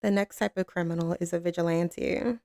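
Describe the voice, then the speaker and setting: A woman playing a tough, commanding mafia boss with a tender side for a lover, in an intimate ASMR roleplay, with a gravelly, creaky voice and a cool drawl. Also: lowish voice, steady tone, warm tone, no echo, studio quality, close to an everyday voice. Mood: measured, philosophical. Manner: cool, unhurried, commanding drawl with pet names.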